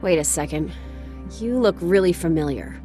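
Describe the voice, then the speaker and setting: A woman plays a wary, idealistic bandit who has grown disillusioned, in a video game. Her voice is a little low, with clear music underneath. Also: animated, normal speed, lightly acted.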